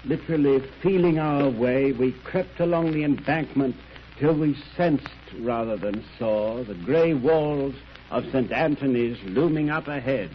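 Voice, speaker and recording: A man's voice: mid-range voice, a little slow, close to an everyday voice. An actor playing a genial older English doctor who tells his stories in a chatty, old-fashioned 1930s radio style. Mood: storytelling. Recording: muffled, noisy 1930s radio recording.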